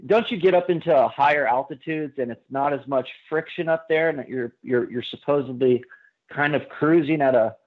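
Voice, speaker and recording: Male caller on a tinny phone line. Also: mid-range voice. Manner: halting, rambling, informal. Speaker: caller to a radio show. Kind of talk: casual chat.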